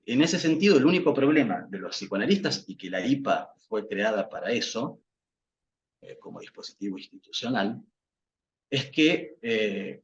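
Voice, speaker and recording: Low-ish guy, portuguese native, lots of ums and restarts, muffled lo-fi audio. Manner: hesitant, many fillers and restarts. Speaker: psychoanalyst guest. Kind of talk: reflective academic talk. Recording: dull lo-fi sound with faint noise.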